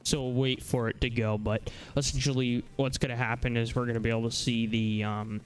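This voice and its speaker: A man hosting a casual live software demonstration, talking haltingly as he thinks aloud. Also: mid-range voice, little pitch movement, faint music behind, rough recording. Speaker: live stream host.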